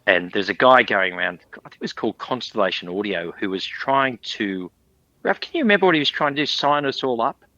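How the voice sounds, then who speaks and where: A man's voice: mid-range voice, animated, a little fast, bright, forceful tone, no room sound, lo-fi sound. An older man chatting as an interview guest, rambling through memories with jokey asides. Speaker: interview guest, industry veteran. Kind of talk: casual chat, reminiscing.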